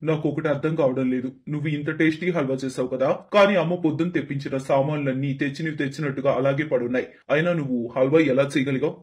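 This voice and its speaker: A man's voice: on the high side, steady tone, fast talker, hardly any pauses, a little mellow, close to an everyday voice. A man playing a young newlywed husband, curious and mild, with a conversational manner, in a story. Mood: puzzled.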